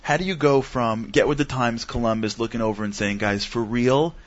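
Eager male host, muffled lo-fi sound. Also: medium pitch. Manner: eager, rapid-fire questions. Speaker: show host. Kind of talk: broadcast interview hosting. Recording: dull lo-fi broadcast audio.

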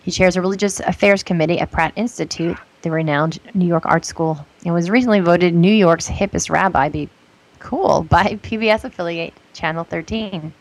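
A woman's voice: on the low side, normal speed, poor audio. A woman hosting a casual podcast interview, earnest and speaking with many "um"s and "like"s. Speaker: podcast host.

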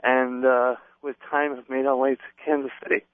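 A man with a fading English accent, talking as a guest on the phone in a friendly, measured way. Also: medium pitch, little pitch movement, clean tone, no room sound. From England. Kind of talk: phone interview. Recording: tinny phone line.

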